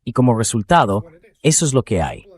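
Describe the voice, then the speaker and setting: A man's voice: mid-range voice, sing-song, fairly quick, a little mellow. A man's synthetic dubbing voice giving blunt, sardonic political and military commentary as an interview guest.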